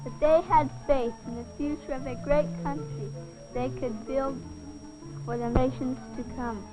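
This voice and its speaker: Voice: high voice, steady tone, measured pace, rough recording. A young performer playing an earnest schoolchild, reciting an essay carefully in a film drama. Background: music.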